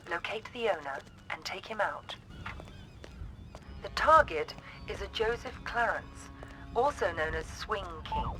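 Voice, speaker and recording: A woman's voice: lowish voice, sharp tone, poor audio. A woman playing a composed, businesslike agency handler giving a mission briefing in a video game. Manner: businesslike, smooth, detached.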